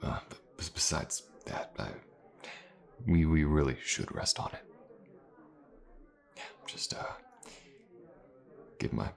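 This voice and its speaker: Gruff, loyal male sellsword played by a man, fantasy audio drama. Rock-bottom register, unhurried, wry and measured. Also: a bass voice, animated, exaggerated voice. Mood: reflective, relaxed. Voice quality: gravelly. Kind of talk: intimate fantasy audio roleplay acting.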